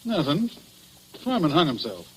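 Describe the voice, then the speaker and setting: A man's voice: on the high side, huge pitch swings, slightly rough, exaggerated voice. A man playing a slack, defensive small-town night policeman in a 1940s radio drama, folksy and colloquial. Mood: casual, nosy. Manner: colloquial, drawn-out excuses. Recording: noisy 1940s radio broadcast recording.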